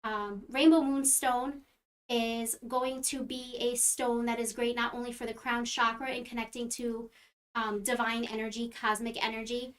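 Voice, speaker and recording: A woman's voice: fairly high pitch, flat delivery, normal speed. Earnest woman explaining crystals. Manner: earnest, explanatory, frequent ums. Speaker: crystal healer. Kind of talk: product explanation on a live stream.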